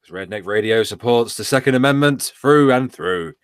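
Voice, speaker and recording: Teasing man, lively voice. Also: mid-range voice, normal speed, smooth, no echo, studio quality. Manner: teasing, jokey. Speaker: co-host. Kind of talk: casual livestream banter.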